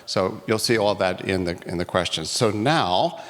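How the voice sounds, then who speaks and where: A man's voice: sits low, animated, normal speed. A man moderating a live community workshop, chatty and joking, with many restarts. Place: community hall, live event. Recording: long hall reverb, faint noise.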